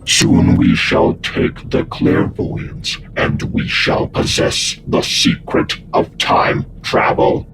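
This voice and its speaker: A man playing a cold, ruthless Cyberman controller in a sci-fi audio drama, giving halting, imperious commands through robotic voice processing. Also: over loud music. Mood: menacing.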